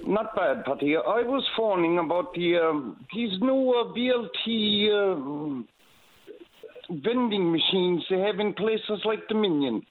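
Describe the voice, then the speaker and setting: A man's voice: high voice, animated, measured pace. An older man, calling into a radio show and rambling folksily with many hesitations. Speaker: caller. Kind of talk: casual call-in chat. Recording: tinny phone line.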